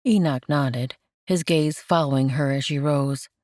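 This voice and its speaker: Slow, low-voiced woman audiobook narrator. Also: darkish tone, no echo, clean studio sound. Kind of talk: scripted romance fiction narration.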